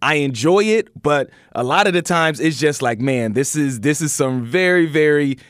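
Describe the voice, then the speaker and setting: A man hosting an interview podcast, talking casually and haltingly, repeating words as he goes. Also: a little high, animated, clean tone, dry recording, studio quality.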